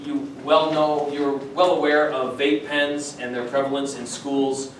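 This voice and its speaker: A man's voice: on the high side, a little hiss or hum. A man, a school administrator, making informal announcements to colleagues at a staff meeting. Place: large room at a school faculty meeting. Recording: very echoey room, distant mic, audience murmur.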